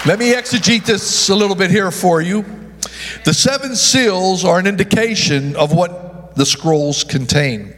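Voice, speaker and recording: A man's voice: high voice, a little slow, a bit bright, some chatter behind. A male pastor preaching a sermon, calling out to the congregation and often stumbling and correcting himself. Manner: preaching with call-and-response to the congregation. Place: church sanctuary. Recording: long echo of a large hall.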